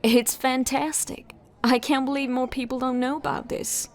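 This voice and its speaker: An actress playing an earnest, inquisitive detective who is puzzling over a case in a sci-fi audio drama. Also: female voice, high-pitched, flat delivery, moderate pace. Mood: curious, friendly. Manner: earnest, questioning.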